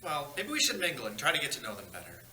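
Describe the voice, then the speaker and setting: A man reading the part of a cheerful, naive, excitable dead man at a screenplay table read. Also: medium pitch, rapid-fire, echoey room, slight background noise, full character voice. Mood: eager.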